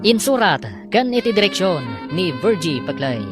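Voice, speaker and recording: A man's voice: high voice, very animated, normal speed. A man narrating and announcing an episode of a radio drama. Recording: radio broadcast with music bed.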